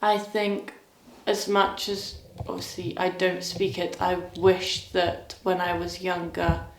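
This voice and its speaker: A young woman from Wales answering interview questions in a hesitant, thoughtful way, often pausing to search for words. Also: lowish voice, level tone, roomy sound, a little hiss or hum, rough recording.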